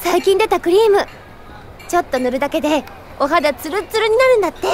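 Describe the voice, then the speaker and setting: A woman's voice: very high voice, animated, a little fast. An actress playing an upbeat, kind young girl in an anime audio drama, with a breezy, girlish, teasing manner. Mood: cheerful. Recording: studio drama with music behind.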